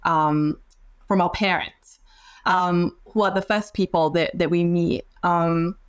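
A woman's voice: fairly low pitch, fairly even intonation, takes it slowly, smooth. A woman from Singapore, a clinical psychologist, speaking as a podcast guest in a reflective way, with frequent ums and small restarts.

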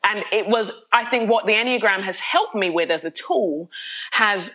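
A woman's voice: medium pitch, moderate pace, pushed and bright. A middle-aged woman from London, a pastor and podcast guest, talking candidly with energy and frequent laughs, often restarting her sentences. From London, of Nigerian heritage. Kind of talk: candid conversational interview.

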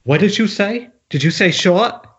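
A man's voice: high voice, expressive, measured pace. A man playing a manic, foul-mouthed horror writer in an amateur screenplay table read. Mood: excited. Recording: dull, noisy internet call audio.

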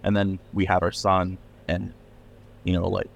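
Deep-voiced pennsylvania guy rambling about his label, lots of likes and restarts. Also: completely flat delivery, a little slow, gravelly, highs cut off. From Pennsylvania, USA. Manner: rambling, many "like" fillers and restarts. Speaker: record label owner, guest. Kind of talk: casual podcast interview.

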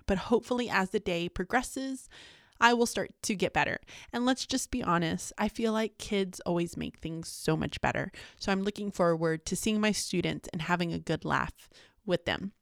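A woman's voice: mid-range voice, normal speed, no room sound, clean studio sound. A woman vlogger chatting casually and candidly, with a self-deprecating, confiding manner. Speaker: teacher and vlogger. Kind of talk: casual confiding vlog chat.

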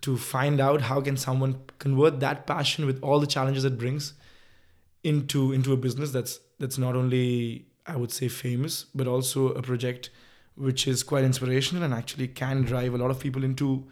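A man's voice: medium pitch, level tone, normal speed, a little mellow. A man hosting an interview, talking in a rambling way as he searches for words. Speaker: interview host. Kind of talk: casual interview.

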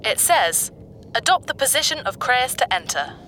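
High, bright, eager ship's computer. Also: female voice, normal speed, slight background noise, exaggerated voice. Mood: helpful, eager. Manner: playful, excitable. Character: eager, childlike, excitable female spaceship computer. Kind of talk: sci-fi audio drama acting.

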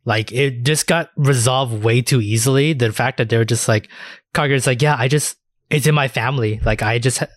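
A man's voice: medium pitch, a little fast, no room sound. Casual male podcast co-host, dry close sound. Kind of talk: casual chat.

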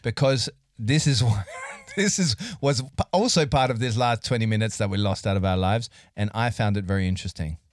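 A man's voice: on the low side, moderate pace, few pauses, no room sound. Joking man, dry close sound. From Australia. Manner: joking, teasing, laughs often. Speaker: podcast co-host. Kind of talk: casual chat.

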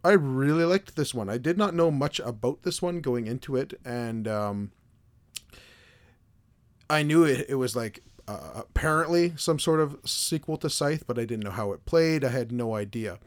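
A hoarse man with a scratchy voice, hosting a casual podcast chat and rambling loosely with fillers and restarts. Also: mid-range voice, lively intonation, normal speed. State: hoarse from being sick. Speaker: podcast host.

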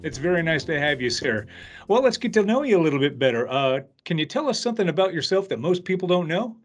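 A man's voice: on the high side, big pitch swings, fast talker, soft background music. A man hosting a podcast in a polished, upbeat way, reading an intro and asking interview questions. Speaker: podcast host.

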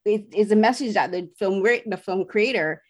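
Lady film critic on a podcast, earnest, keeps searching for the right word, middle pitch. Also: moderate pace. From Barbados. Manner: earnest, talks at length, searching for words. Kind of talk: casual podcast conversation.

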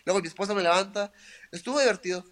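A Mexican man with a Mexican accent, a fighter talking as an interview guest in a relaxed, chatty way, full of filler words. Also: sits high, racing, a little hiss or hum. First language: Spanish. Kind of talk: casual interview chat.

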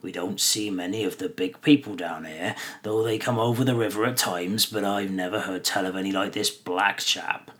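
A man's voice: deep voice, fairly even intonation, moderate pace, hardly any pauses, fairly bright tone. A man voicing a suspicious, grumbling male hobbit farmer who talks in folksy rustic dialect. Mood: grumbling storytelling.

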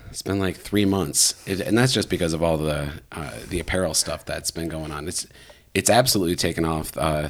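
A man in his thirties hosting a podcast, telling a long story in a rambling, casual way with many fillers. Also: low voice. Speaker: podcast host. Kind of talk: casual conversation.

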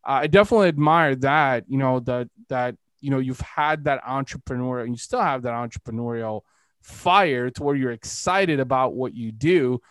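A man's voice: mid-range voice, normal speed, smooth, no echo. Dry-sounding man hosting casually. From Eastern Europe. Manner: rambling, frequent "you know". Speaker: podcast host, entrepreneur. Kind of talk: casual conversational interviewing.

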